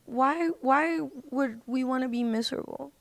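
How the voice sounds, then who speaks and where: Female podcast guest, high voice, slangy and emphatic conversational delivery, very dry close sound. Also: moderate pace, no echo. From United States. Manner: informal, slangy, emphatic with "like" and "literally". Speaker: podcast guest, independent singer. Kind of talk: casual chat.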